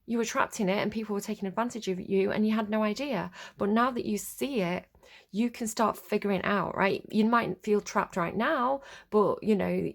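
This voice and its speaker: Woman with a cold doing a chatty tarot reading, sniffly and kinda gravelly, lots of ums. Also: medium pitch. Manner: rambling and searching, full of ums and likes. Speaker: tarot reader. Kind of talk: casual spoken tarot reading.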